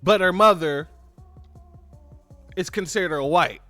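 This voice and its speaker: A man's voice: high-pitched, measured pace, bright, forceful tone, no echo, faint music behind. A man hosting a political commentary show, talking emphatically and informally and repeating his points to his viewers. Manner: emphatic, repetitive, informal, addresses viewers as family. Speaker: commentary channel host.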